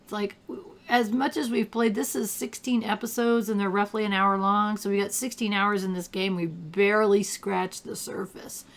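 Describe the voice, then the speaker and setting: An older retired woman with a gravelly, allergy-roughened voice, chatting casually while she plays a game and thinks aloud. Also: mid-range voice, steady tone, moderate pace. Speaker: gameplay commentator. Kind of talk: casual gameplay commentary.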